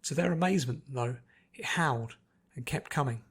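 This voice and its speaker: A man's voice: mid-range voice, moderate pace, husky. A man reading a scripted adventure story aloud as its narrator. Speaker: narrator, podfic reader.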